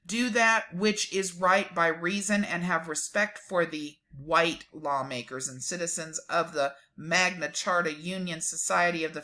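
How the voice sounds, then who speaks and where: Bright-voiced woman reading slowly and dramatically. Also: on the low side. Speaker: presenter reading a document aloud with asides. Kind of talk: dramatic reading with casual mocking asides.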